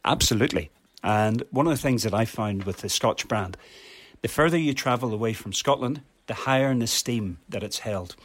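A man's voice: mid-range voice, moderate pace, a bit bright, no room sound. An earnest man talking as a guest on a podcast, pressing his points with emphasis. He often restarts and repeats words mid-sentence. The sound is dry and close, with no room around it. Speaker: red meat industry guest. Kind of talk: podcast interview answers.

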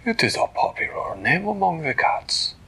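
A man voicing a polite, formal storybook creature, speaking courteously in a raised, breathy voice. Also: on the high side, very animated, moderate pace, pushed and bright, pitched above the performer's own voice, heavily acted.

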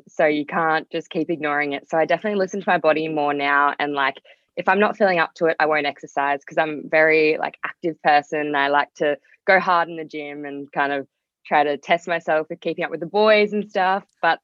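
A woman's voice: low-pitched, steady tone, brisk, fairly bright tone, dry recording, lo-fi sound. A young woman, chatting informally as a podcast guest, with lots of "like" and "kind of". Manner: informal, hedging with frequent "like" and "kind of". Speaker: podcast guest, music artist. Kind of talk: casual chat.

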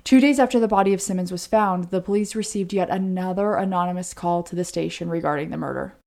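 A woman's voice: fairly low pitch, fast talker, creaky, warm tone. Conversational woman telling true crime stories. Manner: informal, chatty asides amid storytelling. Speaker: podcast co-host.

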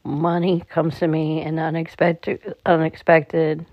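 Monotone meditation guide, muffled sound. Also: medium pitch, a little hiss or hum. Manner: calm, halting delivery with stumbles. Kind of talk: slow guided meditation narration. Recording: dull, muffled lo-fi recording.

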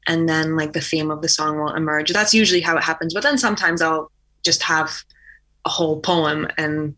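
A woman's voice: deep voice, a little fast, bright, forceful tone. A young woman from Poland with an American accent, a singer-songwriter chatting casually as an interview guest and laughing often.

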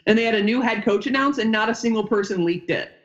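A woman's voice: a little high, fast talker. Chatty woman on dull lo-fi audio. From upstate New York, United States. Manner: chatty, rambling, wanders off mid-sentence. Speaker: former college basketball player and coach, guest. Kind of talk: casual podcast interview.

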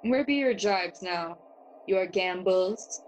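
An actress playing young Hamlet, a witty, brooding and curious noble, in a theatrical bilingual Spanish-English Shakespeare audio play. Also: female voice, medium pitch, slow pace, slight background noise, natural voice, low-quality recording. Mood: wistful, grieving. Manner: musing, questioning, theatrical.

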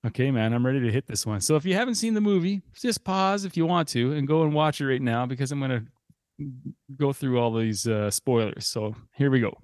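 A man's voice: medium pitch, animated, brisk, a little mellow, no room sound, studio quality. A man with a Canadian accent hosting a film podcast, moving between chat and prepared narration. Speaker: podcast host. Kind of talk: film podcast talk with scripted synopsis.

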